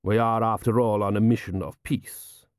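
A man voicing a coolly ruthless desert warrior with formal, measured, deadpan courtesy in an audiobook. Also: fairly low pitch, no echo, clean studio sound, close to an everyday voice. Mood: courteous, unrepentant.

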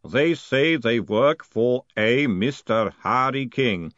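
Man voicing a slow, ponderous, patient golem. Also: medium pitch, lively intonation, a bit bright, no room sound, muffled recording. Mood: patient, formal. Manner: slow, deliberate, formal. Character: patient, literal-minded golem servant, huge and clay-built. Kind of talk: audiobook character voice.

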